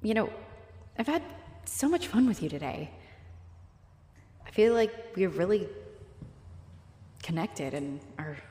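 A woman playing a friendly, flirtatious halfling orc adventurer in a roleplay audio, speaking straight to the listener in a warm, playful, expressive way. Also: on the low side, a little slow, pauses often, slightly rough, heavily acted. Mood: tender, shy.

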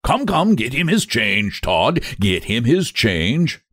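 A man voicing an excitable male tailor-shop owner who fawns over a customer in a rush of flattery. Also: medium pitch, no echo, studio quality, heavily acted. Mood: brisk. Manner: gushing, rapid, breathless flattery.

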